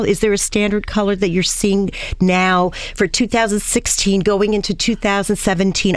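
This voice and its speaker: A chatty middle-aged woman hosts a radio interview, rambling from one thought to the next with plenty of enthusiasm. Her voice sits at an ordinary pitch for a woman. It is recorded close and dry in a studio. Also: no room sound. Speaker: radio show host.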